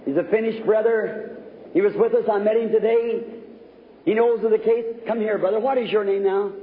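A man's voice: high-pitched, fairly even intonation, normal speed. A man preaching fervently to a revival congregation as an evangelist, exhorting his listeners. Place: large reverberant meeting hall. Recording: tinny, noisy, echoing old hall recording.

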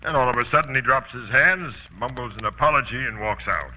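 Blunt, hard-edged radio police captain. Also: male voice, medium pitch, a little fast, pushed and bright. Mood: gruff, matter-of-fact. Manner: blunt, slangy tough talk. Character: gruff, wry, slangy police captain. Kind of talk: 1940s radio crime drama acting. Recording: dull, noisy old radio recording.

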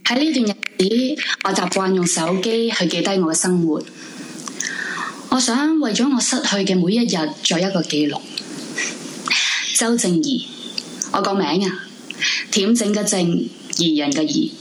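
An actress playing an introverted, brooding young woman in a radio drama, confiding in Hong Kong Cantonese with dramatic pauses. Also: medium pitch, animated, noisy background, close to an everyday voice. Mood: confiding, fond. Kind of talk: radio drama monologue in first person. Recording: music bed under the voice. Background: dramatic background music.

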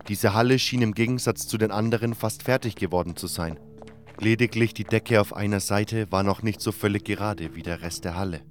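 Male audiobook narrator, lowish voice, scripted fantasy storytelling over music.

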